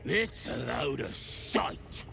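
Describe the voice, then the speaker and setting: A man's voice: mid-range voice, expressive, very slow, noisy background, full character voice. A man voicing a surly, scornful goblin zealot in a video game, with a British accent and coarse, sneering grumbles. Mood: bitter, grumbling. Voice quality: gravelly. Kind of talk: video game character acting. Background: music.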